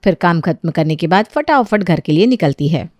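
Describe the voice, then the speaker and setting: A woman narrating a scripted moral story as an expressive storyteller. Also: on the low side, normal speed, no room sound, studio quality.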